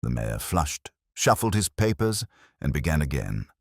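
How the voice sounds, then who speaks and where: A man's voice: rumbling low, big pitch swings, normal speed, dry recording, clean studio sound. A man reading a mystery story as a scripted audiobook narration.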